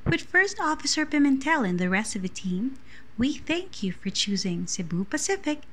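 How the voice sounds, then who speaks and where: A woman's voice: high voice, big pitch swings, a bit bright, pitched above the performer's own voice, full character voice. A young woman with a Filipino accent voicing a polite, formal flight attendant reading a scripted in-flight announcement. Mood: courteous, formal. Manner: formal, measured, reading aloud. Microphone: earphone mic held near mouth.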